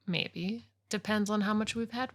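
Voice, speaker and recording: A woman's voice: mid-range voice, moderate pace. A woman in her thirties co-hosting a casual podcast, talking bluntly into a podcast microphone, teasing her partner and swearing freely. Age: 30s. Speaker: podcast co-host. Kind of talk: casual chat.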